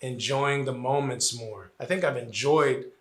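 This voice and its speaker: A man's voice: medium pitch, measured pace, reverberant. A man in his forties from New Jersey, answering interview questions earnestly, with many "uh"s and repeated phrases. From New Jersey, Puerto Rican family. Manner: earnest, lots of "uh" and "you know", repeats phrases. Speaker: interviewee, college administrator.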